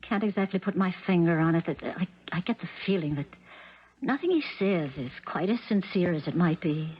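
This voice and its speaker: An American woman playing an uneasy, solicitous stepmother in a 1970s radio drama, speaking gently and hesitantly. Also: lowish voice, animated, fairly quick, breathy edge. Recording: muffled 1970s radio recording.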